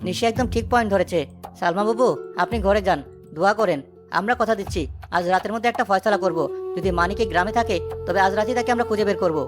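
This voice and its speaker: A woman voices an earnest young cartoon hero rallying friends. The voice is mid-pitched and very brisk, decisive, over faint music. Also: close to an everyday voice. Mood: determined. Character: resolute young village man leading his friends. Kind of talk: cartoon story dialogue.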